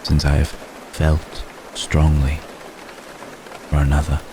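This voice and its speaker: Man as a very deep, creaky, tender vampire gentleman. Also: heavily acted. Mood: tender, vulnerable confession. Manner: halting, formal, soft-spoken, deliberate phrasing. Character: centuries-old male vampire gentleman, tender, lovestruck, apologetic. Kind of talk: ASMR roleplay, intimate dramatic monologue. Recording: steady rain noise behind the voice. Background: rain.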